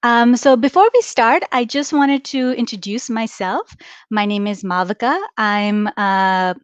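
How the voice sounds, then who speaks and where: Woman from india, thirties, smooth clear voice, dry muffled webinar audio. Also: on the high side, measured pace, dry recording. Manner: upbeat, interactive, explaining with frequent "right?". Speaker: admissions director. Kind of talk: live webinar presentation.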